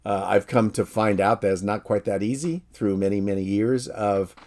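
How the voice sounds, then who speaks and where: A middle-aged American man, a professor from San Francisco, giving a calm, friendly, measured course introduction. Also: on the low side. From San Francisco, USA.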